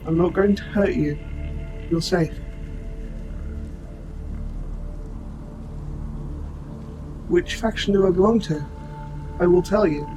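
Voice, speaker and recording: Robot soldier, male actor: fairly high male voice, formal and precise, protective and gentle, audio drama with prominent music underneath. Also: low-quality recording. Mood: calm, reassuring. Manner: formal, precise, measured wording. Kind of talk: scripted audio roleplay, addressing the listener.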